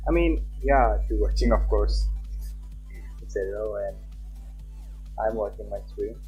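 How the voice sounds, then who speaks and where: A man's voice: fairly low pitch, measured pace, mellow, muffled recording, faint music behind. A man livestreaming a game, chatting casually and reading visual novel text aloud. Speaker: live streamer.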